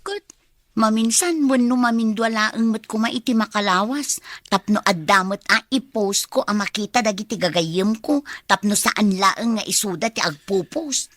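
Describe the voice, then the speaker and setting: A woman's voice: mid-range voice, moderate pace, a bit bright, no echo, close to an everyday voice. An actress playing an envious, demanding young girlfriend in a radio drama, with an Ilocano Filipino accent. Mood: wistful, sulky.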